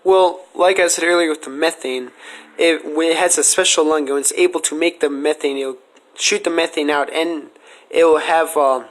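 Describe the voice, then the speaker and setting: A man's voice: fairly high pitch, fairly even intonation, moderate pace. A man hosting a casual question-and-answer podcast, explaining speculative ideas earnestly. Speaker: podcast host.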